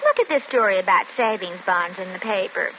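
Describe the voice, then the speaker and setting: A woman as a skeptical teasing wife, lively pitch, thin broadcast sound. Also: fairly quick, natural voice. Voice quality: coy, playfully contrary. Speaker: radio actress. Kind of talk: 1940s radio acting. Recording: tinny old radio broadcast with hiss.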